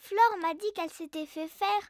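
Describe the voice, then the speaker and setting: A woman voicing a nosy, excitable little schoolgirl in a children's cartoon, with a French accent. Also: very high-pitched, moderate pace, noisy background. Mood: sly, musing. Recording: music behind the voice.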